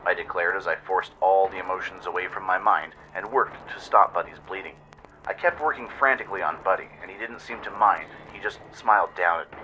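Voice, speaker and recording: A man's voice: sits low, pushed and bright, highs cut off, a little hiss or hum. A man narrating a scripted dramatic first-person story in radio-play style, with an intense, theatrical delivery.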